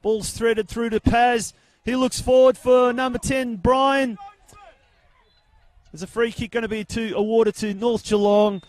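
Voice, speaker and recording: Booming aussie guy calling a footy match, gets pretty excited, voice sits high, mic at arm's length outside. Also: a little slow, no echo. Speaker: football commentator. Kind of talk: live football commentary. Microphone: handheld mic held at arm's length.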